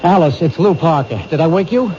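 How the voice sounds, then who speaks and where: Actor as an earnest higher-voiced police detective. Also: male voice, animated, a little fast, natural voice. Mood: concerned. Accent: American. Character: earnest, anxious male police detective on stakeout. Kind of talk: old-time radio drama acting. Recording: noisy, muffled vintage radio broadcast.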